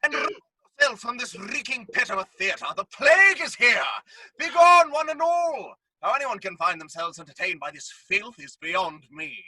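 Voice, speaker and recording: Haughty, scornful nobleman for audio drama: very high, piercing, swooping delivery. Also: very animated, moderate pace, bright, forceful tone, no echo, exaggerated voice, rough recording. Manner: imperious, theatrical, scornful. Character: outraged, haughty nobleman in a plague mask. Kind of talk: stage-style audio drama acting. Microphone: video call recording.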